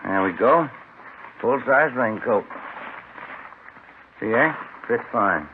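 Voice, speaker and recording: An actor playing a chatty, fussy police detective in an old radio drama, folksy and earnest. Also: male voice, medium pitch, lively intonation, takes it slowly. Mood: chatty, pleased. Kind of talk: naturalistic 1950s radio drama acting. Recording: hissy, narrow old radio recording.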